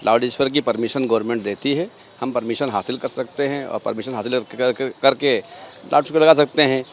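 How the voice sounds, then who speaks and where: A man with a medium-pitched voice gives an interview outdoors as a local worshipper. He explains earnestly, repeating and rewording phrases as he makes his points. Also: fairly quick, slight background noise. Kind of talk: street interview answers. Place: outdoors outside a mosque.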